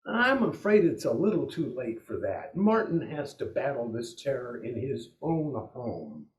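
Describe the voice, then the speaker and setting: An actor playing an exasperated 1940s radio announcer who hosts the show in a theatrical way. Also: male voice, mid-range voice, big pitch swings, a little slow. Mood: ominous, grand. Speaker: host. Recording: echoey room with music behind.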